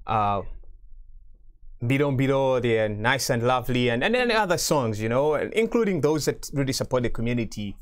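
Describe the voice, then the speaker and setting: A man hosting a TV studio interview, asking long rambling questions full of fillers in an animated way. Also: mid-range voice, moderate pace. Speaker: TV show host.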